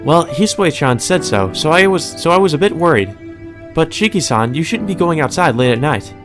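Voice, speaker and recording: Man acting cheerful young maid woman, high-pitched, sweet, polite, gently teasing, visual novel character, music underneath. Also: lively intonation, normal speed, natural voice. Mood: gently concerned. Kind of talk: visual novel read aloud.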